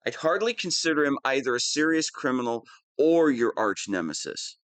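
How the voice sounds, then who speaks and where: A man's voice: medium pitch, expressive, moderate pace, fairly bright tone, dry recording, close to an everyday voice. A man playing a whiny, pompous wannabe supervillain who is easily flustered, in a scripted comedic audio roleplay. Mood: defensive, insistent.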